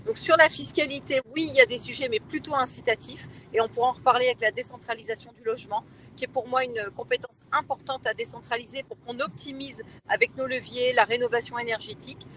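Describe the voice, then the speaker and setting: A woman, a local elected official, explaining her position in a panel discussion, emphatic and persuasive, with hesitant pauses. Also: fairly high pitch, steady tone, a bit bright, a little hiss or hum, low-quality recording.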